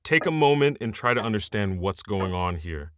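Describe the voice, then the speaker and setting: A guarded, commanding leader of survivors in an audio drama. The voice is on the low side, blunt and measured, like someone weighing a threat. A faint noise sits behind it. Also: male voice, very animated, a bit bright. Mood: stern, probing. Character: wary, commanding leader guarding a post-war bank community.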